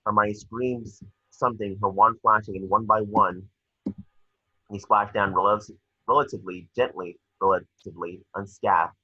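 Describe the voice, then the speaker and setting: A man's voice: on the low side, fairly even intonation, moderate pace, husky, no room sound, lo-fi sound. A man reading stage directions as narrator for a screenplay table read.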